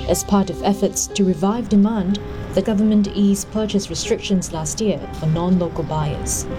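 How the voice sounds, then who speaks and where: A woman giving scripted news narration in a measured, formal delivery. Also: medium pitch. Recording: voice-over with music bed.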